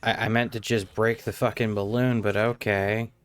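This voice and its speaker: A man's voice: lowish voice, level tone, normal speed, clean tone. A man giving casual, offhand gameplay commentary as a live streamer, muttering his reactions. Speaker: live streamer playing a game. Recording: faint game music behind. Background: game music.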